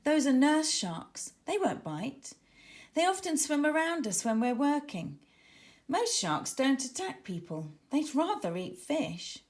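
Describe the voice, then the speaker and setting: A woman reading the part of a calm, reassuring boy marine biologist in a children's storybook, explaining patiently. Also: high-pitched, unhurried, pitched above the performer's own voice.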